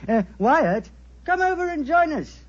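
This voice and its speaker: A man playing a talkative, goading, resentful doctor with a husky voice, in 1940s radio style. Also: very high-pitched, a little slow, full character voice. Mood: chatty. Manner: excitable, goading. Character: talkative, needling, resentful male doctor at a bar. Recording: muffled old 1940s radio recording.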